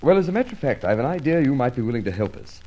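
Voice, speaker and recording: An actor playing a brisk, businesslike Scotland Yard inspector in an old-time radio drama. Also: male voice, medium pitch, sing-song, warm tone, lo-fi sound. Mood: businesslike, confiding.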